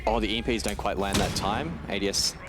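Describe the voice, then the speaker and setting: A man's voice: on the low side, fast talker, noisy background. Informal male esports caster with gunfire behind. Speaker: esports match commentator. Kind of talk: live game commentary, conversational banter. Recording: clear game gunfire behind the voice. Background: game gunfire.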